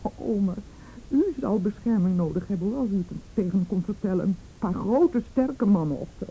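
A woman's voice: medium pitch, moderate pace, warm tone, slight background noise, natural voice, low-quality recording. An actress playing a defensive, frightened mother in a Dutch radio play, hesitant and evasive, with an indignant edge. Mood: bitter, afraid. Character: defensive, evasive, frightened mother shielding her abusive husband.

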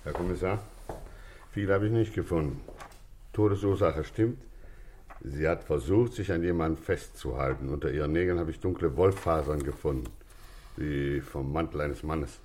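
An actor playing a sober, precise police doctor in a German radio play, reporting in a clipped, clinical manner. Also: male voice, extremely low pitch, normal speed, darkish tone, slight background noise. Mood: matter-of-fact. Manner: clipped, clinical, matter-of-fact.

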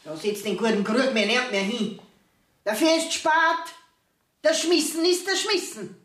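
An actress playing a middle-aged, indignant peasant mother in a Bavarian dialect radio play, scolding with drawn-out emphasis. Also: fairly high pitch, expressive, echoey room. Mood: outraged, scolding.

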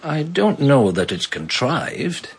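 A man's voice: on the high side, big pitch swings, slow pace, a little hiss or hum, full character voice. A man with a British accent playing a detached, awkward observer in a radio drama, hesitant and dry. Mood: wry, hesitant.

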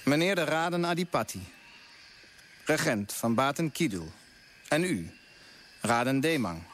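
A man's voice: mid-range voice, sing-song, a little slow, fairly bright tone, slight background noise. A man playing an idealistic, grand colonial official in a Dutch radio play, speaking with rhetorical flourish. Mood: solemn, fervent.

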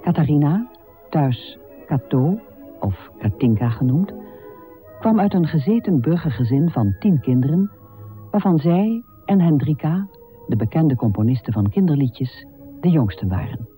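A man reads scripted narration for a radio drama. His voice sits a little high but is very soft and dark, with a lively, swinging intonation. The delivery is formal and measured. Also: soft background music.

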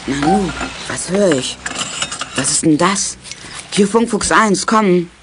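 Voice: medium pitch, lively intonation, takes it slowly, heavy background noise. An actor playing an eager, food-loving boy detective with an earnest, exclaiming manner in a children's radio play. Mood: eager, concerned. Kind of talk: 1980s German children's radio play acting.

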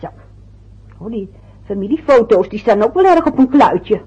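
A woman's voice: a little high, expressive, moderate pace, mellow, breathy edge. An actress playing an anxious, fussy middle-aged mother in an old radio play, chatty and eager to please. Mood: fretful.

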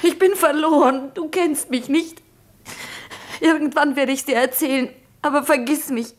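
A woman's voice: sits high, steady tone, moderate pace, close to an everyday voice. A woman playing a distraught, self-condemning young woman in a radio drama, pleading with anguish. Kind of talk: German radio drama acting.

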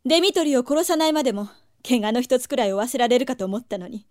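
A woman's voice: a little high, expressive, fast talker, darkish tone, no room sound, studio quality, lightly acted. A woman playing a proud, jealous, passionate succubus in an audio drama, haughty and emotionally intense. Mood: haughty, scornful. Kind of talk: anime-style audio drama acting. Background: music.

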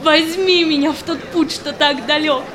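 A woman's voice: sits high, fairly even intonation, moderate pace, crowd noise, close to an everyday voice. An actress playing a passionate young woman in love who defies her father, pleading in theatrical verse. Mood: passionate, tearful joy. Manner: impassioned, pleading, exclamatory. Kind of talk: theatrical verse drama acting.

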